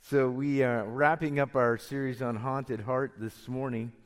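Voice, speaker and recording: A middle-aged male pastor speaks to his congregation with a voice thickened and deepened by a cold. He talks in a relaxed, storytelling way at a mid pitch. The sound carries a long reverb from a church hall. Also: measured pace. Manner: conversational storytelling preacher, chuckles often. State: has a cold, deeper voice than usual. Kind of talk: sermon.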